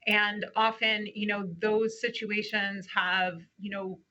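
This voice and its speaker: A woman's voice: mid-range voice, flat delivery, slow, pushed and bright. A woman newspaper reporter explaining her reporting in a remote news interview, with frequent "you know" fillers.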